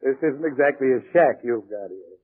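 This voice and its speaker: An American actor playing a slick, ambitious, pushy prospector with scheming confidence in a 1940s radio drama. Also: male voice, mid-range voice, animated, moderate pace, close to an everyday voice. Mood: smug, businesslike. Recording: tinny narrow-band 1940s radio recording.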